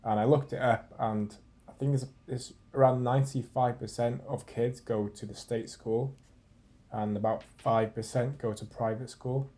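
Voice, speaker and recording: Man, young adult, from Wales, podcast guest, casual explaining, mellow, mid pitch. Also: steady tone, moderate pace. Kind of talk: casual chat.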